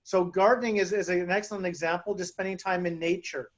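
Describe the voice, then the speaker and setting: A man's voice: high voice, fairly even intonation, fairly quick, dull audio. A man explaining grounding and chakras in a casual, hesitant way, full of fillers and restarts, over a video call. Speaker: guest speaker on grounding and chakras.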